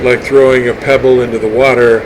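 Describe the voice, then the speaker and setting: A man's voice: medium pitch, flat delivery, a little fast. A man hosting an interview, asking engaged, reflective follow-up questions. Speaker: interview host. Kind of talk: conversational interview. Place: noisy public venue. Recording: noisy background.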